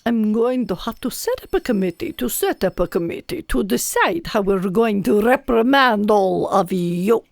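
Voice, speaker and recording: A woman's voice: medium pitch, animated, normal speed, creaky, hardly any pauses, dry recording. A woman playing a fussy, rule-bound paradox specialist with a pompous manner in an audio drama. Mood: indignant.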